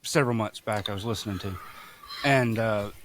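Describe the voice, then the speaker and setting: A man's voice: lowish voice, a little fast, background noise. A man from Mississippi with a Southern accent, chatting casually as a farmer guest on a podcast, folksy and self-deprecating. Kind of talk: casual chat.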